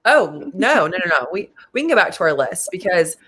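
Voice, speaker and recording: A man in his late twenties co-hosting a casual sports talk show, animated and quick in bursts, with many fillers. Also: high-pitched, slightly rough. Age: late 20s.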